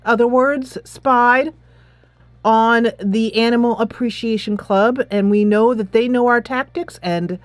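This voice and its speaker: A woman hosting a casual let's play, chatting in an animated, giggly manner into a close mic. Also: a little high, measured pace, dry recording. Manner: animated, giggly, reads dialogue aloud. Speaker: gaming channel host.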